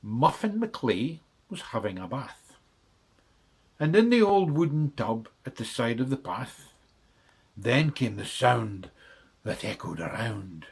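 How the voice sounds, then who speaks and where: A man's voice: mid-range voice, very animated, slow pace, breathy edge. A man reading a children's bedtime story in rhythmic verse with dramatic delivery.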